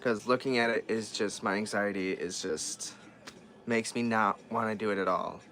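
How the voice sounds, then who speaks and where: A man's voice: fairly low pitch, steady tone, moderate pace, sharp tone, slight background noise. A man chatting casually as he works on a repair, thinking aloud and often trailing off and restarting his sentences. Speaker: vlogger repairing dolls. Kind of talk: casual chat while working.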